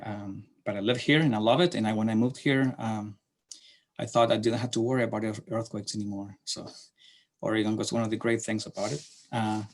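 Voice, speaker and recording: A middle-aged man from Chile with a Chilean Spanish accent, giving a slide presentation to a class in a halting way with many fillers and restarts. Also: fairly low pitch. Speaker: city transportation planner presenting to a class.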